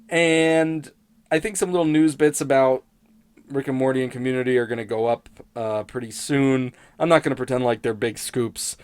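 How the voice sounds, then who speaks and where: A man's voice: mid-range voice, clean tone, no room sound. A man hosting a casual, rambling solo commentary in a hesitant, self-deprecating and sometimes sweary way. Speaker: YouTube host and TV critic.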